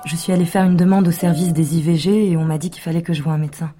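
A woman's voice: on the low side, steady tone, quick pace, warm tone, faint music behind, close to an everyday voice. An actress playing a defensive night nurse who answers her doctor's questions with curt, guarded replies in a radio drama. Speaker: patient.

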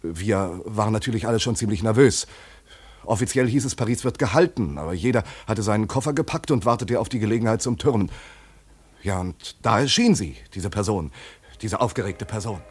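An actor playing a nervous, evasive former German official in a radio drama, speaking hesitantly and deferentially. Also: male voice, fairly low pitch, expressive, fairly quick, husky. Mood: agitated, recounting.

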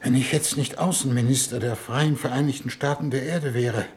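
An actor playing a self-justifying, sentimental foreign minister in formal, hesitant radio play dialogue. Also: male voice, medium pitch, steady tone, moderate pace, a little mellow, lightly acted. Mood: apologetic, self-justifying. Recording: noisy 1960s radio drama recording.

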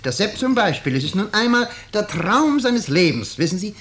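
An actor playing a sly, mock-polite former waiter and jailbird in a 1950s German radio drama. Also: male voice, high voice, sing-song, moderate pace, fairly bright tone. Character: smooth, insinuating ex-waiter and jailbird houseguest. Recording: slightly noisy 1950s radio recording.

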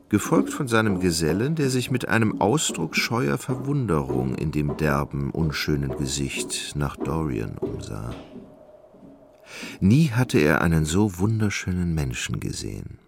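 Deep, creaky-voiced male narrator. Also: big pitch swings, a little slow, very noisy. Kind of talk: scripted literary radio play narration.

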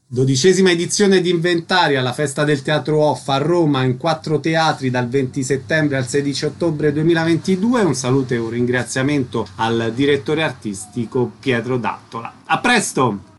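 A man's voice: fairly high pitch, normal speed, slight background noise. A man speaking as a radio interviewer, putting questions in a broadcast interview.

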